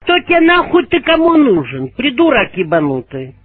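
A woman's voice: fairly high pitch, wildly swooping pitch, moderate pace, breathy edge, no echo. A woman on a phone call, abusive and scolding, swearing at the other side. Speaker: phone caller. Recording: tinny phone line.

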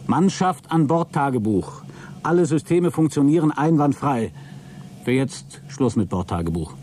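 A man acts an astronaut in a radio drama who refuses to accept bad news. His voice is soft-edged and mellow, mid-range, with curt, irritable, commanding delivery. A faint noise bed sits behind him. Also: mid-range voice, expressive, unhurried, close to an everyday voice. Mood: formal, matter-of-fact. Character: astronaut Walter, exasperated space shuttle crewman in stubborn denial. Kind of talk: German radio play acting.

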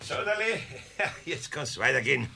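An older Bavarian craftsman in a 1970s radio play, grumpy and easily flustered. His gravelly voice sits high for a man and swings about as he mutters and scolds. The old tape has faint noise. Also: big pitch swings, unhurried, exaggerated voice. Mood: muttering, baffled. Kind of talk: 1970s German children's radio play acting.

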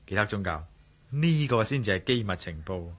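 An actor playing a shrewd, composed adventurer in a Cantonese radio drama, measured, wry and self-assured, with a Hong Kong accent. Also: male voice, fairly low pitch, big pitch swings. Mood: wry, knowing. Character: shrewd, composed male adventurer and amateur sleuth.

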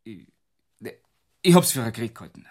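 An actor playing a dogged but flustered police inspector with a Bavarian accent, hesitant and insistent, in a German radio drama. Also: male voice, mid-range voice, expressive, a little fast, a little mellow, close to an everyday voice. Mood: hesitant, explaining.

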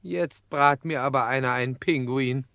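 A man's voice: medium pitch, normal speed, vocal fry, a bit bright, clean tone, dry recording, studio quality. An actor playing an indignant gangster blustering at his arrest in a comic radio crime drama. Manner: blustering, exclamatory.

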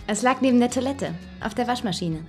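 A woman's voice: on the high side, expressive, a little fast, faint music behind, close to an everyday voice. Woman playing a teasing bold young woman. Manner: playful, teasing, coaxing. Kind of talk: radio drama acting.

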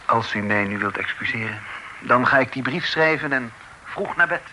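An actor playing an earnest, formal young gentleman in a Dutch radio drama. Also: medium pitch, lively intonation, moderate pace, close to an everyday voice. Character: earnest young gentleman, son of the chief sheriff. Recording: noisy old radio play recording.

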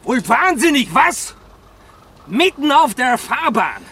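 An actor playing a brash, angry driver who scolds forcefully in a radio play. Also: male voice, very high voice, very animated, slow, breathy edge, heavily acted. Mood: outraged. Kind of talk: German radio play acting.

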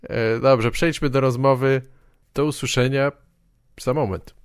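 Clear-voiced man hosting a podcast, deadpan. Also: mid-range voice, a little slow, no room sound, clean studio sound, soft background music. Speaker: podcast host. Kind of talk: casual podcast talk.

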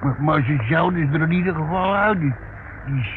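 An actor playing a grumpy old male tramp in a radio play, halting and repeating himself as he grumbles. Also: a little high, close to an everyday voice. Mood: rambling. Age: older. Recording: muffled narrowband old radio recording, noisy.

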